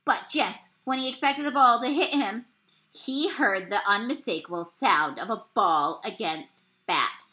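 A woman's voice: a little high, measured pace, poor audio. A young woman reading a picture book aloud expressively, as a teacher would, recorded on a computer mic in a room. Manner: animated storyteller with exclaimed dialogue. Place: small indoor room.

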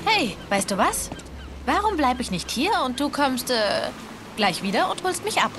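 An actress playing a curious, skeptical young adventurer with a lively, teasing manner in a German video game dub. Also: female voice, high-pitched, normal speed, noisy background. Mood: playful, evasive.

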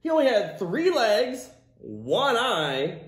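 A man's voice: sits high, huge pitch swings, slow pace, heavy background noise. A man in his thirties reading a picture book to children in a theatrical, exaggerated way, with playful asides to his young listeners. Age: 30s. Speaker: children's storytime host, teacher. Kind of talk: animated picture-book read-aloud for kids. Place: classroom. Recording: roomy echo of a classroom.

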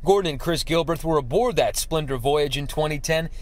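A man's voice: fairly high pitch, a little fast, a little hiss or hum. A man reporting a news story as correspondent, with a dramatic, emphatic scripted delivery.

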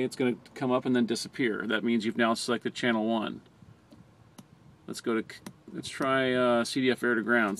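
An American man narrates an instructional video in a plain, step-by-step way. His voice sits at an average pitch for a man. It is an amateur camera recording with some noise behind the voice. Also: a bit bright. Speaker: fire department communications trainer. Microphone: handheld camera or phone close by. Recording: noticeable background noise, amateur camera audio.

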